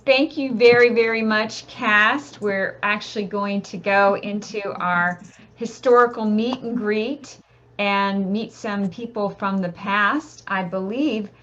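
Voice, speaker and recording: A woman's voice: mid-range voice, steady tone, slow pace, a bit bright, slight background noise. Woman hosting, slightly dull call audio. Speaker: event host. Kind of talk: informal moderating. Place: online video call.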